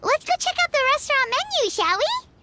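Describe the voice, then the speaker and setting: A woman voicing a tiny, chirpy childlike fairy companion in a video game, with a squeaky voice. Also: very animated, a little fast, bright, forceful tone, heavily acted. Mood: cheerful.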